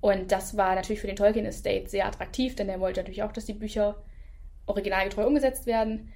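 A woman's voice: mid-range voice, fast talker, gravelly. Woman co-host, casual review talk, lots of ums, sure of her views. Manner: lively opinions with frequent fillers.

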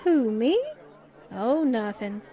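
Woman playing a slow, sardonic wisecracking gal reporter. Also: on the high side, a faint crowd. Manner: dry, deadpan quips. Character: wisecracking, sardonic young newspaperwoman. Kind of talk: 1940s-style radio drama acting.

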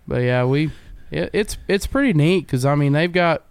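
Young driver with a clear, dry voice. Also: male voice, fairly high pitch, normal speed, dry recording. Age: 20s. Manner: relaxed storytelling with a laugh. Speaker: race car driver guest. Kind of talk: casual podcast chat.

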